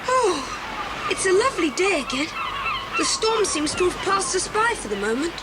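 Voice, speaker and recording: An adventurous young boy with an extremely high, lively voice. He is keen and earnest in a children's audio drama, heard over loud cassette noise. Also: normal speed. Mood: cheerful. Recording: very noisy old cassette dramatisation.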